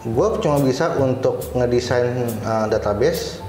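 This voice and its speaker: A man's voice: mid-range voice, animated, a little slow, a little mellow. A man with a Jakarta Indonesian accent telling his own story casually as an interview guest, in informal, slangy speech. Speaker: interview guest, cyber security supervisor. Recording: roomy echo, faint background music.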